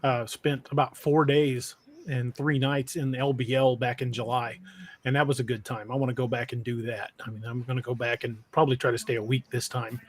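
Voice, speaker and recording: A man's voice: medium pitch, little pitch movement, a little fast. An American man hosting a casual livestream podcast in an informal, conversational way. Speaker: podcast host.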